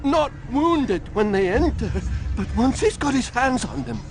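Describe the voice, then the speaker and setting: High-pitched, terrified cowardly informant. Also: male voice, big pitch swings, a little slow, full character voice, low-quality recording. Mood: fearful, urgent. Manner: stammering, pleading. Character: terrified, cowardly male town preacher turned informant. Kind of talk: dramatic video game acting. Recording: loud music behind the voice.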